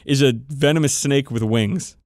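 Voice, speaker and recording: A man's voice: mid-range voice, normal speed, darkish tone, no echo, studio quality. A man co-hosting a podcast, chatting casually and enthusiastically about tabletop game lore with plenty of swearing. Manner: profane, enthusiastic, informal. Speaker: podcast co-host.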